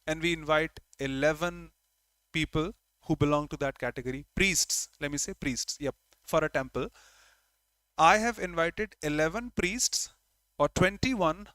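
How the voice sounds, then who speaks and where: A man with an Indian English accent teaches an online grammar class. He works through each point step by step and repeats key words for his students. His voice sits a bit higher than most men's and sounds dry and close. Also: a bit bright, smooth, dry recording, rough recording. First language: Hindi.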